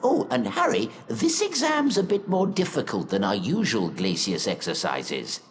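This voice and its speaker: Kindly old wizard teacher, super swoopy voice, effusive, game character, bit of noise behind. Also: male voice, medium pitch, wildly swooping pitch, normal speed. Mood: cheerful, encouraging. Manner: effusive, old-fashioned schoolmaster. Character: excitable kindly old male wizard professor. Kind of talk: video game voice acting.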